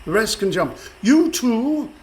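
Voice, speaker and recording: Pompous high-voiced pirate captain. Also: male voice, sing-song, slow pace, creaky, darkish tone, exaggerated voice. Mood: smug, menacing. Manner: pompous, theatrical, mock-courteous. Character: blustering, villainous pirate captain, pompous and menacing. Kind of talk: radio play acting. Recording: faint background noise.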